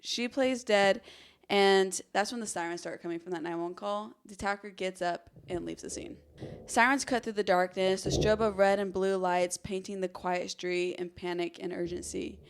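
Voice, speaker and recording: A woman's voice: medium pitch, fairly even intonation, normal speed, slight background noise. A woman hosting a true crime podcast, telling the story dramatically with casual conversational asides.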